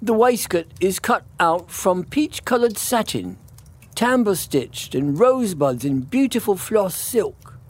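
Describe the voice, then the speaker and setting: A man voicing a poor old tailor in a storybook, anxious and lamenting, with mournful muttering and drawn-out pleas. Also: high voice, expressive. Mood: fretful mumbling.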